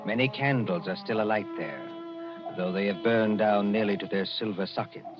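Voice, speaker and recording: A man playing an ominous storyteller, giving measured, theatrical 1940s radio narration. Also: lowish voice, natural voice. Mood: ominous, atmospheric. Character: grave, ominous male storyteller setting the scene. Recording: muffled 1940s radio recording with music.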